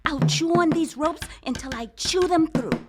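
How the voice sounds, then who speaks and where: A woman's voice: extremely high pitch, normal speed. A woman voicing a small, earnest, loyal mouse in a theatrical storybook radio drama. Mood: eager, loyal. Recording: loud music behind.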